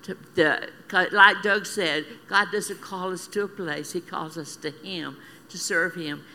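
Slow, bright-voiced older woman laughing through a testimony. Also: on the low side, fairly even intonation, slight background noise. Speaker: missionary speaker. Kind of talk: church testimony. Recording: reverberant hall with faint ambience.

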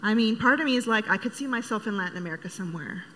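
A missionary candidate answering a question at church, thoughtful and pausing with ums. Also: female voice, on the high side, lively intonation, fairly bright tone, slight background noise, low-quality recording.

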